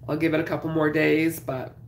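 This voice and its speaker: Raspy, congested woman streaming from home. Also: low voice, flat delivery, fairly quick, reverberant, slight background noise. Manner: chatty, greets viewers while crocheting. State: congested, sore throat. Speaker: craft livestream host. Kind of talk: casual livestream chat. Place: small home craft room, indoors.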